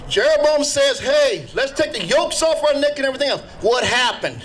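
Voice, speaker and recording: A man's voice: very high-pitched, a bit bright, slight background noise, poor audio. A man giving an impassioned political rally speech, calling out to the crowd in a preacherly, rousing way. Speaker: rally speaker.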